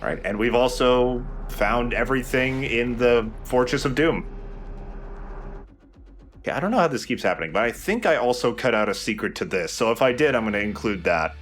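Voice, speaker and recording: A man's voice: mid-range voice, lively intonation, normal speed, fairly bright tone, soft background music. A man hosting a let's play with casual, conversational commentary over a video game.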